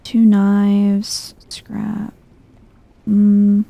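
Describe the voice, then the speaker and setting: A soft-spoken woman giving chill, dryly wry ASMR-style commentary while playing a video game. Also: medium pitch, monotone, very slow, creaky, dry recording.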